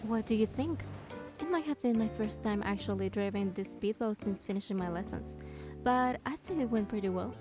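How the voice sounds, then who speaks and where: A woman playing a playful young heroine in a game, narrating softly in the first person. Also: medium pitch, normal speed, lightly acted. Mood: playful, teasing. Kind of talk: scripted first-person narration with character dialogue. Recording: studio voice over clear background music. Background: music.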